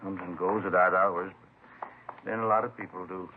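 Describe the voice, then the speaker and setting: A man's voice: low-pitched, fairly even intonation, moderate pace, phone-quality sound, lightly acted. A man playing a laconic, folksy American landlord in a 1950s radio drama, answering in short offhand phrases. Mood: offhand, helpful. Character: folksy, incurious longtime local landlord, helpful but laconic. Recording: noisy, thin vintage radio recording.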